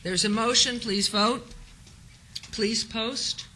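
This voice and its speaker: A hoarse woman runs a city council session from the chair, reading out formal notices in a crisp, bright tone. Her voice is roughened by a lingering cold. Between script items she turns briefly conversational with colleagues. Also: fairly high pitch, fairly even intonation, takes it slowly, a little hiss or hum. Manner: reads a set script, then chats lightly with colleagues. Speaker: meeting chair. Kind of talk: formal procedural meeting chairing.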